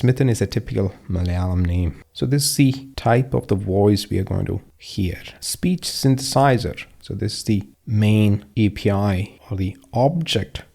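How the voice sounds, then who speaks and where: A man's voice: fairly low pitch, warm tone. A middle-aged man from Kerala with an Indian English accent, explaining a coding demo step by step in a conversational way. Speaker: course instructor. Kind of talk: screen-share coding tutorial.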